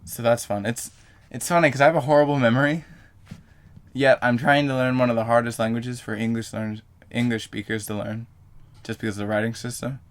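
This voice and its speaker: A man's voice: medium pitch, fairly even intonation, brisk. A young man vlogging to camera in a casual, rambling way, full of fillers and self-corrections.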